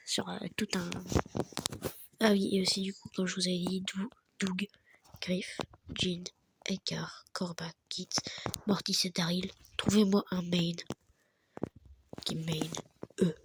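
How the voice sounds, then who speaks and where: A young man with a very high, childlike voice, stuffy from a cold, giving soft, half-whispered, rambling commentary over his gameplay. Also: flat delivery, takes it slowly, pauses often, background noise. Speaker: gaming YouTuber.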